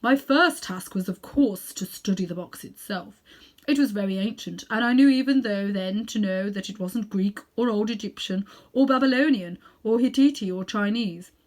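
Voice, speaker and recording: A woman's voice: mid-range voice, moderate pace, close to an everyday voice. Woman acting a pompous, emphatic old magician uncle. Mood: pompous, irritated. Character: sinister, self-important elderly male magician uncle, easily offended.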